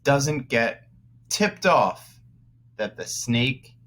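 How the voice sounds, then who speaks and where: A man teaching young children through a read-aloud, pausing to explain words as he goes. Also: mid-range voice, expressive, fairly bright tone. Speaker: first-grade teacher.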